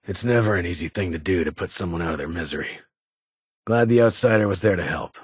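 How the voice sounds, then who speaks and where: An actor playing a weary, formal middle-aged military security chief in a video game, speaking in a restrained, dutiful way. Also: male voice, low voice, quick pace, husky, no echo, clean studio sound. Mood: concerned, firm. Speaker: vault chief of security, former army science advisor. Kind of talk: video game character dialogue.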